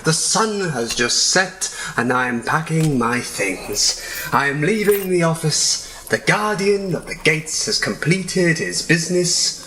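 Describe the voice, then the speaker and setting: Pompous, jovial gatekeeper bureaucrat, low and very animated, faint noise behind. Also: female voice, measured pace, low-quality recording. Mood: triumphant. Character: smug, jovial, self-important city gate official. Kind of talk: audio drama acting.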